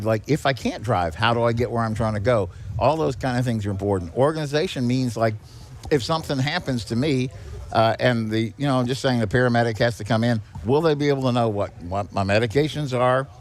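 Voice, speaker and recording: A middle-aged man as a guest, explaining things conversationally in a plain-spoken, instructive way. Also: medium pitch. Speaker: guest, senior charity executive director. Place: on location at a garage.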